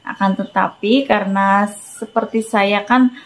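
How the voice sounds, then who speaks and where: A young woman from Indonesia speaks to her vlog viewers at an unhurried pace, her voice sitting at a medium pitch. She hesitates often, fills the gaps while she looks for words, and mixes Malaysian Malay expressions into her talk. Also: fairly even intonation. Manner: hesitant, searching for words with many fillers. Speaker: vlogger. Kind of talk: casual personal vlog talk.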